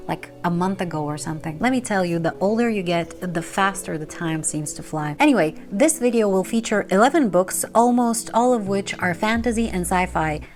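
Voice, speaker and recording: Woman, Bulgarian accent, Bulgarian native speaker, book reviewer, frank, wry, earnest, mid-pitched voice. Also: normal speed, hardly any pauses. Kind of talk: casual video commentary.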